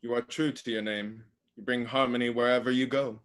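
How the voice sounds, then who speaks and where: A man's voice: mid-range voice, steady tone, a little fast, noisy background, natural voice. A man playing a scheming, evil god of the underworld in a comic staged reading, theatrical and sly. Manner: theatrical, sly, mock-sweet.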